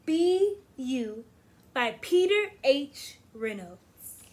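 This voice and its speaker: A young woman teacher reading a picture book aloud to children in an animated, deliberate, encouraging way. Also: high voice. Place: small indoor room against plain backdrop.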